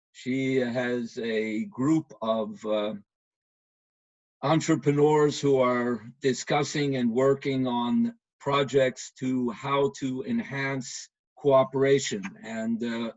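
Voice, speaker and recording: A man moderating a webinar, introducing the next speaker haltingly with many pauses. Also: mid-range voice, no room sound. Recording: dull, dry video-call audio.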